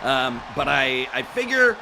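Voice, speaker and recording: A man's voice: medium pitch, sing-song, unhurried, creaky, background noise. A man doing color commentary on wrestling, sarcastic and jokey, playing up mock outrage.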